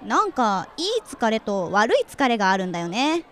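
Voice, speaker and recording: A woman's voice: fairly high pitch, big pitch swings, normal speed, some chatter behind. An actress playing a friendly, forward, sporty woman in her twenties in a radio drama, chatting casually and directly. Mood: chatty, candid. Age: 20s.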